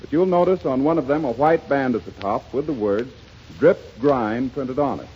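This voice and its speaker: An American man working as a radio announcer, delivering a formal, emphatic 1930s sponsor pitch. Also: normal speed. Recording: lo-fi 1930s radio, faint noise.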